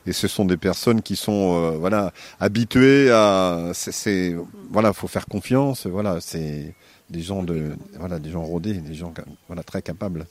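A man on a festival team, speaking haltingly and searching for words. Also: deep voice, no room sound. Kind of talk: radio interview.

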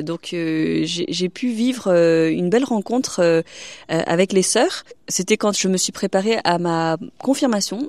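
A woman's voice: medium pitch, darkish tone, dry recording. A young woman from China, a religious sister, speaking hesitantly in a radio interview with many fillers and restarts.

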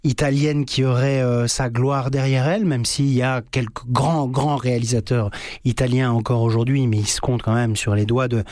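A man's voice: mid-range voice, steady tone, moderate pace, darkish tone, dry recording, studio quality. A man hosting a radio interview, asking long, winding questions full of fillers. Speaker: radio host.

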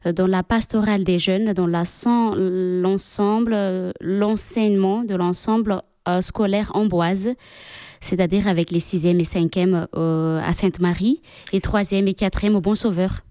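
A woman religious sister from Madagascar, speaking French as a second language, answering interview questions about youth catechism with many pauses and fillers. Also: medium pitch, fairly even intonation, mellow, no room sound. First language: Malagasy.

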